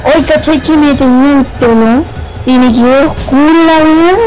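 A woman's voice: sits high, normal speed, poor audio. A woman with a Chilean accent chatting casually as a player in a group video game. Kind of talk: casual group gameplay chat. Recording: loud game sound over voice chat.

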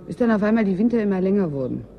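An actress playing an eager, frank young climatologist and new assistant in a radio play, earnest and articulate. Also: female voice, medium pitch, animated, very fast, highs cut off, lightly acted. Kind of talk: German radio play dialogue. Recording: radio play with clear background noise.

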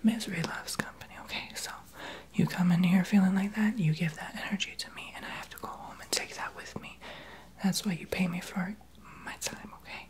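A man's voice: high voice, steady tone, brisk, natural voice. A man whispering as a sassy, rude young therapist. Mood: scolding. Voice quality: soft unvoiced whisper. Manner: blunt, sarcastic, gossipy sass. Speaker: ASMR creator. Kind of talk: whispered ASMR roleplay. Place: small home office, indoors.

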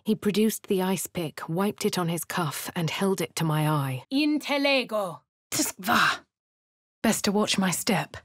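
An actress playing a posh, composed fantasy adventurer in a video game, with a British accent and a refined, measured, dramatic delivery. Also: female voice, fairly low pitch, slightly rough, no echo, clean studio sound. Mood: incanting. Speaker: voice actor for a video game player character. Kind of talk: scripted game voice lines and narration.

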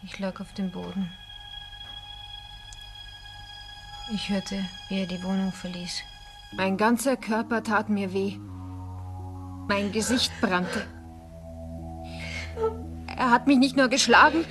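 An actress playing a fragile, bitter young woman in a crime radio play, confessing in a halting, emotional way. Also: medium pitch, close to an everyday voice. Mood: pained, subdued. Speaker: actor. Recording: music under the voice.

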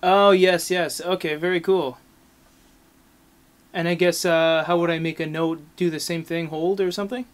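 A man's voice: on the high side, fairly even intonation, normal speed. A man chatting casually and in an easygoing way as he demonstrates a product as video host.

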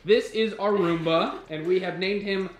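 A man in his twenties with an American accent, excitedly narrating a casual house tour as he films. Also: high voice, moderate pace, crowd noise. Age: 20s. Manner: enthusiastic, excitable. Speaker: vlogger. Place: walking through rooms of a house. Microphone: handheld camera with on-camera microphone. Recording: echoey rooms of a house.

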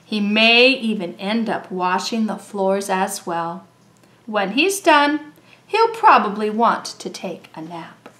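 A woman reading a children's story aloud in an expressive, deliberate way, drawing out words for young listeners. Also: mid-range voice. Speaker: storybook reader.

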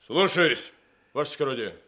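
An actor in a Soviet radio drama playing a gruff, servile police underling with a barking, clipped delivery. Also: male voice, on the high side, lively intonation, moderate pace, sharp tone. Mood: dutiful, servile. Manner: barking, clipped, deferential to his superior.

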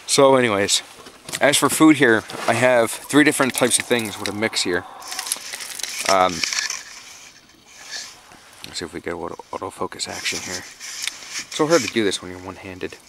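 A man's voice: lowish voice, gravelly. Informal man talking over background noise. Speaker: backyard chicken keeper and vlogger. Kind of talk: casual how-to vlog talk. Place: outdoors in a yard and inside a small wooden coop. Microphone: handheld camera, operator behind it. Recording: noisy handheld camera audio.

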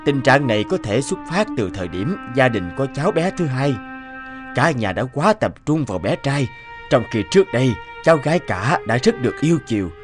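A man's voice: medium pitch, sing-song, fairly quick, music in the background, lightly acted. A man voicing a sober, authoritative middle-aged doctor in a cartoon, formal and explanatory. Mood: grave, explaining. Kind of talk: cartoon voice acting.

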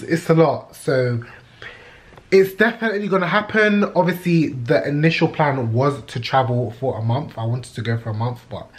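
A man in his thirties with a London accent chats to his camera at home. His delivery is informal and candid, full of slang, with a medium-pitched voice. It sounds like an everyday personal vlog. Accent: British, London. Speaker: YouTube vlogger. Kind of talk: casual vlog chat. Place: indoors in a flat: kitchen, living room, bedroom.

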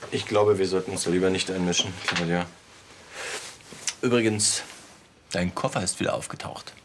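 Caring middle-aged man played by an actor, low voice, TV drama, noticeable background noise. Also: fairly even intonation, moderate pace, natural voice. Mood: caring, calm. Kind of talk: TV drama acting. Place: small indoor sickroom set.

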